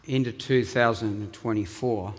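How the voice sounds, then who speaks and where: A man's voice: fairly low pitch, moderate pace, background noise. A man, preaching to his congregation in a joking, self-deprecating way. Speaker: pastor. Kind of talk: sermon. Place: church hall. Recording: reverberant hall.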